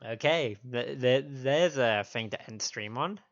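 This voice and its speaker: Sniffly man streaming, slightly low-pitched and dull-sounding. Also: unhurried. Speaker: game livestream host. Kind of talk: casual stream chat. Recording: dull lo-fi stream audio, faint music.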